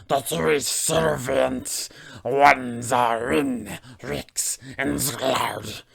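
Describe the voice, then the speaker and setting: Alien gnome creature, very creaky, slow delivery, ominous, courtly wording, roleplaying game monologue. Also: male voice, mid-range voice, a bit bright, exaggerated voice. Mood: formal, eerie. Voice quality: gravelly, creaky. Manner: slow, stilted, formal speech. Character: cold alien gnome mind flayer, formal and ominous.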